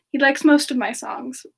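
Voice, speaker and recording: A high, slow, sweet voice for a modest ice-powered singer in love played by a woman. She talks softly and playfully, with giggles and pet names, in the intimate style of an in-character semi-ASMR livestream. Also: vocal fry, slightly rough, heavily acted. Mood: bashful, amused. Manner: soft, giggly, endearing chatter with pet names. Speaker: character streamer answering chat questions.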